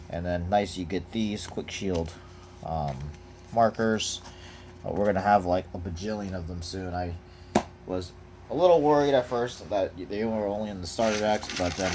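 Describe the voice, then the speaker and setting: A young man casually chatting while unboxing trading cards at home, rambling with lots of fillers. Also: low-pitched. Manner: rambling, relaxed, many fillers. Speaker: card collector unboxing. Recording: noisy home recording. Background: crinkling of packaging.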